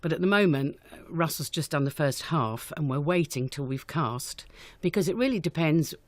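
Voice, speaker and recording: A woman's voice: deep voice, animated, moderate pace, darkish tone, no echo. A woman answering questions in an unscripted radio interview, chatty and enthusiastic as a guest director. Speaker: guest, amateur pantomime director.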